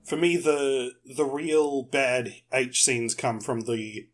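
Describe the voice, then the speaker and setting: A man's voice: mid-range voice, steady tone, slow pace. A man talking casually as a panelist on a podcast. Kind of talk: casual chat.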